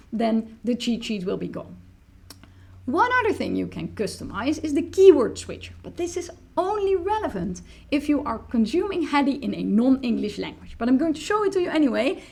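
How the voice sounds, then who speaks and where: A woman in her thirties, a native Dutch speaker, explaining a software tool step by step in a screen-recorded tutorial from a small room. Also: on the high side, vocal fry, breathy edge. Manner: explanatory, walks through clicks step by step. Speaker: teacher tool demonstrator.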